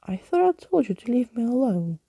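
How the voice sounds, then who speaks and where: A woman's voice: on the high side, very animated, a little fast, vocal fry, breathy edge, dry recording, muffled recording, voice pushed up, heavily acted. A woman voicing a sarcastic young girl in a storybook, blunt and exasperated. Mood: annoyed.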